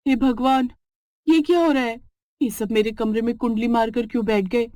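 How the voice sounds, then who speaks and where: Lady voicing a flustered young daughter-in-law, polite, kinda high, dry mic. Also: fairly even intonation, moderate pace, darkish tone, no echo, lightly acted. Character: put-upon young daughter-in-law, polite but frazzled.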